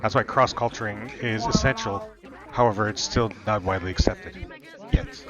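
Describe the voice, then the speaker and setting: Hesitant man over clear background music. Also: lowish voice, normal speed, dull audio. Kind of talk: improvised online roleplay chat. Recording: music behind the voice.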